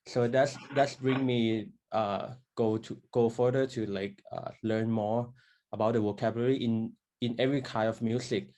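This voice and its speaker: A man's voice: medium pitch, steady tone, moderate pace, mellow, low-quality recording. Hesitant man, many fillers. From Thailand. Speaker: guest violinist.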